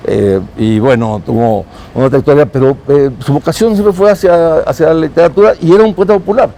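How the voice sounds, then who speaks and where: A man being interviewed on a city street as a consul, talking slowly with many pauses and fillers. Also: a little high, dark tone. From Mexico. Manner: hesitant, many fillers and restarts.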